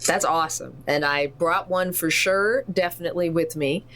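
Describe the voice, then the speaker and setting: Female tabletop player, low-pitched voice, casual and animated, thinks out loud while improvising her character's moves. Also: moderate pace. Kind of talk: casual improvised roleplay chat.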